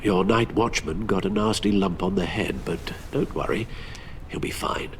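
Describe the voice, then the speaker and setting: A man's voice: low-pitched, moderate pace, slight background noise. An actor playing a loyal, anxious older British butler with a formal, fatherly manner in a video game. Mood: formal, concerned.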